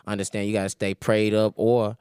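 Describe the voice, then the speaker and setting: A man in his twenties speaking as a podcast guest in relaxed, slangy conversation. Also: on the low side, brisk, clean tone, dry recording, clean studio sound. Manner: laid-back slang, frequent "you know what I'm saying". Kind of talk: casual interview talk.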